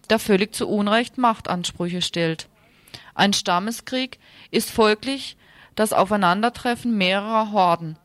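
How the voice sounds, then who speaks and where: A woman presents radio news, reading commentary and announcements. Her pitch is average for a woman, and she is recorded with almost no room sound. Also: a bit bright, no room sound.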